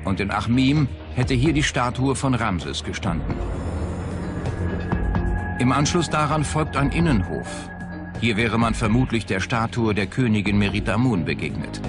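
A man's voice: mid-range voice, lively intonation, measured pace, long pauses. A man reading scripted narration as a documentary narrator. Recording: loud music behind the voice. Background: music bed.

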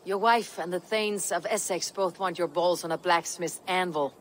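An actress playing a stern, dry-witted Norse warrior in a video game, speaking in measured, terse phrases. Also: female voice, fairly low pitch, natural voice. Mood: wry, firm. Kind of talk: video game cutscene acting. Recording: reverberant, with ambient noise behind.

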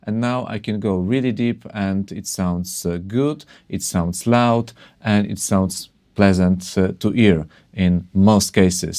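A man's voice: fairly low pitch, a little mellow, no echo. A man in his thirties casually reviewing an audio plugin from his small home studio, hesitant, with many fillers. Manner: hesitant, many uh fillers, slightly non-native phrasing. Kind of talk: casual tech review talk. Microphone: studio condenser mic on arm.

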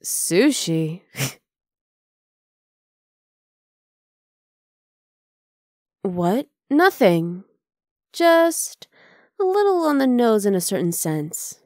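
A woman's voice: medium pitch, big pitch swings, slow, darkish tone, no room sound. Close, dry, teasing half-dragon girlfriend voiced by a woman. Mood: teasing, gentle. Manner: casual, coaxing, affectionate teasing. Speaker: ASMR roleplay voice actor. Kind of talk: ASMR roleplay acting.

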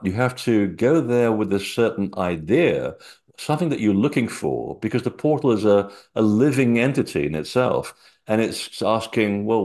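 A man's voice: fairly low pitch, a little mellow. A middle-aged man from Portugal with a mixed Portuguese, British and North American accent, talking animatedly with many fillers on a video call. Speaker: guest researcher.

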